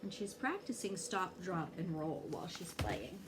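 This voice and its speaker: A woman reading a children's story aloud in an expressive way, pausing to check in with young listeners. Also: on the low side, background noise. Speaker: fire inspector reading a children's book aloud.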